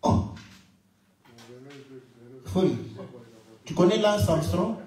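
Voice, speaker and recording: A man from Congo preaching a sermon, swinging from loud exclamations to quiet asides, asking the congregation rhetorical questions and laughing often. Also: extremely slow, far from the mic. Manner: animated, swings between loud high exclamations and low murmured asides. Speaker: pastor. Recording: very echoey room, speaker away from mic.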